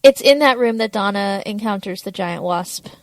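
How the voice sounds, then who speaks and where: Woman cohost w/ lots of creak in her voice, chatting casually, bit unsure, really dry recording. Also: mid-range voice, normal speed, no room sound. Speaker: podcast co-host. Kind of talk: casual explanatory podcast chat.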